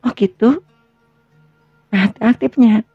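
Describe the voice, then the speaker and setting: Considerate young Sundanese-accented wife, acted by a woman, lightly high pitched, hesitant domestic radio-drama talk, dry lo-fi recording. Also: takes it slowly, slightly rough, no room sound, exaggerated voice. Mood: thoughtful. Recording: dull lo-fi recording with music.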